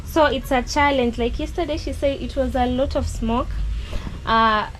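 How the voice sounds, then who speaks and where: A woman's voice: high-pitched, fairly even intonation, moderate pace, very noisy. A Kenyan woman giving casual vlog narration while filming a family shop kitchen outdoors, explaining things with plenty of "you know" fillers. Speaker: vlogger filming a relative's shop.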